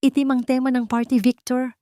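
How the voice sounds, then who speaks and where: A woman's voice: sits high, flat delivery, fairly quick, dry recording, clean studio sound, voice pushed up, close to an everyday voice. Meek, careful politician's wife, voiced by a woman. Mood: calm, yielding. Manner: soft-spoken, deferential. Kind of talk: audio storybook drama.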